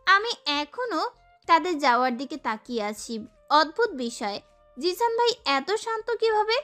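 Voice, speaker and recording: Woman playing a very high-pitched, sassy young girl. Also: a little slow, faint music behind, exaggerated voice. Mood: dramatic, musing. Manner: expressive, girlish. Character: sassy, feisty young girl telling her love story. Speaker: narrator and lead actress. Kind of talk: dramatised first-person romance story narration.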